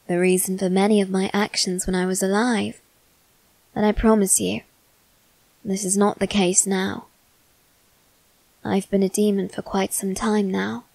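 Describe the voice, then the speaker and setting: A woman voicing a polite, coaxing young male demon in an ASMR roleplay aimed at the listener, speaking softly and courteously. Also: mid-range voice, dry recording, low-quality recording. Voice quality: soft, measured and courteous.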